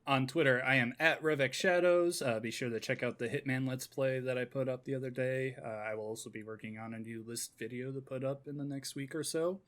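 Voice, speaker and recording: Medium-pitched man chatting on a podcast. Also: fairly quick, few pauses, fairly bright tone. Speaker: podcast co-host. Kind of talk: casual podcast chat.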